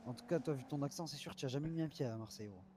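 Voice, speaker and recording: A man's voice: medium pitch, rapid-fire, heavy background noise. A man chatting casually in slang during an online roleplay game, with a confrontational, bantering manner.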